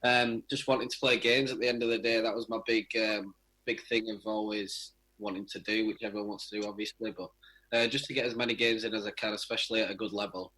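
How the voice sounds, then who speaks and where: A man's voice: lowish voice, level tone, fast talker, pushed and bright, husky, low-quality recording. A young man, a footballer, giving casual answers in a radio interview. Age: young adult.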